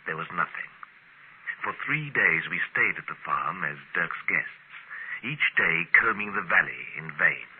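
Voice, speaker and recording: An actor playing a serious archaeologist, narrating a strange adventure in a measured, dramatic old-time radio style. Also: male voice, low voice, animated. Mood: earnest. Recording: muffled, noisy vintage radio recording.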